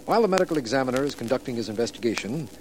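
Brief: an actor as a brisk DA, businesslike, animated delivery, old-time radio. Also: male voice, medium pitch, a little hiss or hum, close to an everyday voice, rough recording. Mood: narrating. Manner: brisk and matter-of-fact. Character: brisk, exasperated district attorney. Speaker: district attorney. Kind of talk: 1940s radio acting.

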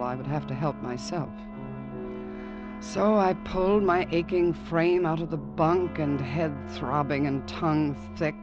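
A man's voice: fairly high pitch, close to an everyday voice. An actor as a radio drama narrator, grimly and intensely recounting a troubled man's ordeal from a letter. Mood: weary, grim. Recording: dull vintage radio, music underneath.

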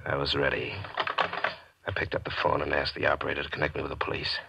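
Cold, calculating male gambler-murderer, low register, old radio mystery with music behind. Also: completely flat delivery, fast, vocal fry, sharp tone, full character voice, poor audio. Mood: cold, methodical. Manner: calculated, confessional first-person telling. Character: cold, scheming gambler narrating his own murder. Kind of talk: 1940s radio mystery acting. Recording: vintage radio broadcast with music.

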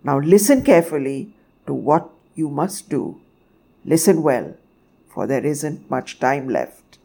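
A woman voicing a mighty male sun in a storybook, soft and golden in tone, calm, solemn and gently commanding. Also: low voice, voice pushed down, heavily acted. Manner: slow, measured, grave.

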